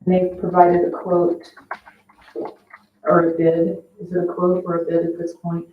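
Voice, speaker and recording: A woman's voice: fairly low pitch, completely flat delivery, a little slow. An American woman chairing a board meeting, speaking in procedural, formal meeting style. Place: indoor municipal meeting room. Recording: echoey room, distant dull microphone, faint noise.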